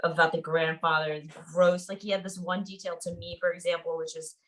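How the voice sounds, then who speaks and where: A woman's voice: fairly low pitch, flat delivery, fairly quick. A woman co-hosting a podcast, chatting casually about fiction. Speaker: podcast co-host. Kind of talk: casual conversation.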